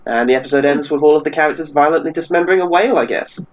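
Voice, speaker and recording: Male podcast panellist, on the higher side, flippant and conversational. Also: very fast, highs cut off. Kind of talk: casual chat.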